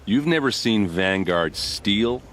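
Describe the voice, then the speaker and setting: Deep-voiced cocky, flirtatious soldier. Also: male voice, animated, takes it slowly, fairly bright tone, slight background noise. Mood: boastful, flirtatious. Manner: easygoing, teasing, wry. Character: cocky, flirtatious male soldier of an elite guard. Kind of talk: video game cutscene acting.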